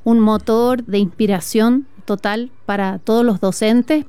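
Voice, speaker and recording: An Argentine woman, a music teacher, speaks in an earnest, reflective way and pauses often on "eh." Her voice is mellow and smooth. The sound is dry and close. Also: on the high side, no room sound. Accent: Argentine Spanish. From Tucumán, northern Argentina. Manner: earnest and reflective, with frequent "eh" fillers. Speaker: music teacher and flutist, guest. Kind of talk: conversational interview. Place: outdoor school courtyard.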